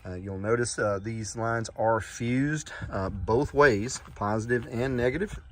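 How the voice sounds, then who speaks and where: A man giving an informal hobbyist walkaround in a halting manner, often clearing his throat, recorded on a handheld phone. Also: medium pitch, fairly bright tone, slight background noise. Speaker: ham radio hobbyist presenting. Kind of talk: informal video walkaround narration. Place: around and inside a parked truck, outdoors. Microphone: handheld iPhone.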